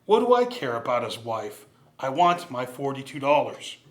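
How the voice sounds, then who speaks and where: A man's voice: mid-range voice, big pitch swings, moderate pace, lightly acted. A man acting a grumpy, miserly old businessman in an old-time radio drama, scolding and full of contempt. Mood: stern, demanding.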